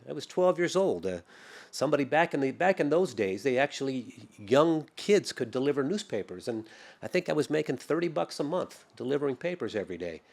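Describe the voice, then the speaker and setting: A man's voice: medium pitch, creaky. Middle-aged male guest, mid-range voice, reflective and sincere. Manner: earnest, reflective, with frequent restarts. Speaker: podcast guest, private lender. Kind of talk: conversational interview.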